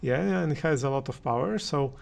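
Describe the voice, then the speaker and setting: A man giving unscripted hi-fi commentary as a reviewer, speaking haltingly with many pauses. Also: mid-range voice, expressive, gravelly. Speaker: audio reviewer and channel host. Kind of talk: unscripted opinion commentary on hi-fi gear.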